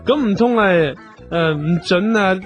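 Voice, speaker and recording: An actor with a Hong Kong Cantonese accent playing an excitable, emphatic magic student in a comic radio drama. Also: male voice, sits high, a little slow, lo-fi sound, soft background music. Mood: doubtful. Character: excitable starry-eyed magic student and would-be show-off performer.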